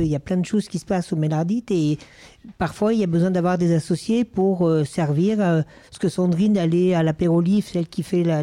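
A man's voice: a little high, warm tone, dry recording. A man from Maine-et-Loire, a guest in an interview recorded in a village bar, speaking hesitantly with many fillers and restarts. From Maine-et-Loire, France. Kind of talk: casual interview.

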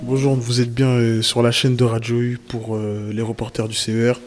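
A man's voice: medium pitch, level tone, moderate pace, soft background music. Male student reporter, mid voice, hesitant intro. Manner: hesitant, many fillers.

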